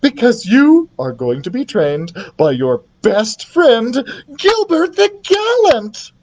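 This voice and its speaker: A woman voicing a doting, excitable storybook queen who gushes in drawn-out exclamations. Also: on the low side, voice pushed up, exaggerated voice. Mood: thrilled. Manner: gushing, drawn-out exclamations, talks over others. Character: excitable, gushing, doting storybook queen mother, hard of hearing.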